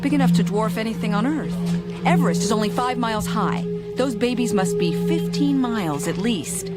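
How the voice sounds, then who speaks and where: An animated actress as a woman geologist, sharp and eager, with wry edges. She plays it in radio drama style over prominent music. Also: fairly high pitch, normal speed, close to an everyday voice. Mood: awed, excited.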